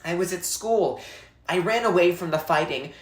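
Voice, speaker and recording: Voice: mid-range voice, normal speed, pitched above the performer's own voice, lightly acted. A storybook narrator voicing a frightened, polite young refugee boy, earnest and anxious. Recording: echoey, roomy sound.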